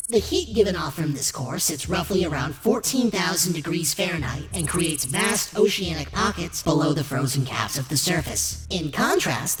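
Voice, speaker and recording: A woman's voice: deep voice, low-quality recording. A woman voicing a polite robot host, delivering formal, polished sci-fi documentary narration. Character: polite robot host guiding tours of alien worlds. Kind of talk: scripted documentary-style narration. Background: prominent music.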